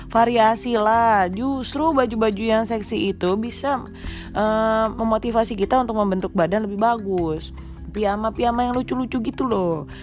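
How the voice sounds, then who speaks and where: Chatty woman with a stuffy nose. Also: mid-range voice. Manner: chatty, teasing, coaxing. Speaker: podcast host. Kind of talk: casual chat to mothers, mixing in English. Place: small rented room.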